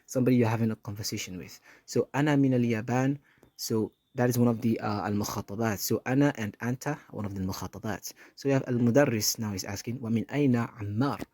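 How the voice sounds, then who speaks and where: A man's voice: medium pitch, fast, a little mellow, no echo. A man teaching an Arabic lesson, explaining each rule patiently and repeating points with small fillers.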